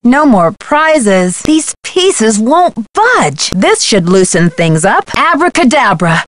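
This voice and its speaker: A woman's voice: on the high side, big pitch swings, takes it slowly, no room sound. A woman playing a plucky, curious young detective in an adventure game, talking to herself in a wry, determined way. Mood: wry, focused. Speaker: video game voice actor. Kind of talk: scripted adventure game character lines.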